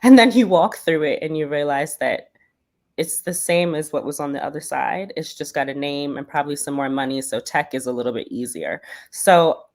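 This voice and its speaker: A Bermudian woman with a Bermudian accent, a Broadway performer talking casually as an interview guest, animated and often searching for words with fillers. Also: deep voice. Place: lives in New York.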